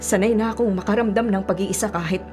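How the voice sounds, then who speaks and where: A woman's voice: medium pitch, fairly even intonation, fast, over music, natural voice. A Filipino woman acting in a radio drama as a self-sacrificing, ailing older mother, with a plaintive manner. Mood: hurt, resigned. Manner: long-suffering, plaintive.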